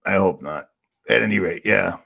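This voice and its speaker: A man with a raspy voice, ranting sarcastically and rambling on a livestream as the channel host. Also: low voice, moderate pace, bright, forceful tone. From Boston area. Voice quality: raspy, gravelly.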